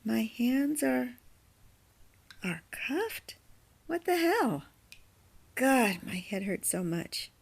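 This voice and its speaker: A woman playing a frightened but defiant captive in a horror radio drama, halting and emotional. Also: fairly high pitch, big pitch swings, creaky, husky, heavily acted. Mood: groggy, frightened. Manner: halting, gasping, swearing.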